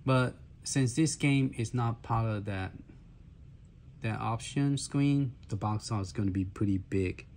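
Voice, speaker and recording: A man with a lowish, slightly hoarse voice clears his throat now and then. He talks through a gaming device's menus in a loose, halting way and often fills his pauses. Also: a little mellow, a little hiss or hum. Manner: halting, many fillers and restarts. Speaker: handheld gaming reviewer. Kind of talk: casual walkthrough demo.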